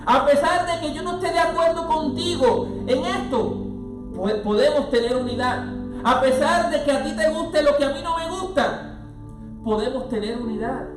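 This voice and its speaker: A pastor preaching a sermon, swelling from quiet passages into loud, sung-out lines, with music playing underneath. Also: male voice, extremely high pitch, normal speed, reverberant, distant mic.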